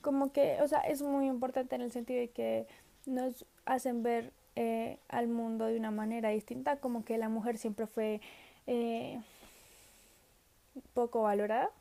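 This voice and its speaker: A woman's voice: fairly high pitch, steady tone, unhurried, creaky, warm tone. A seventeen-year-old girl who is unwell, answering study questions in a casual video message, often hesitating, trailing off and laughing. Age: teens. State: sick.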